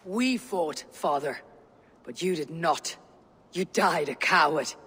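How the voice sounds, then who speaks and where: An actor playing a stern, cold young Viking warrior in a video game, speaking curtly and in measured terms. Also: male voice, fairly high pitch, expressive, bright, forceful tone, slightly rough, big-room sound, exaggerated voice. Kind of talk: video game cutscene acting.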